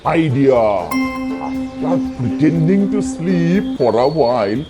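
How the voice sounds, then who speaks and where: Slow, swooping grumpy cartoon tiger played by a man. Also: on the high side, very animated. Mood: greedy, plotting. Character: grumpy, greedy male tiger uncle, easily outraged. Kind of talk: children's cartoon character dialogue. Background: music behind the voice.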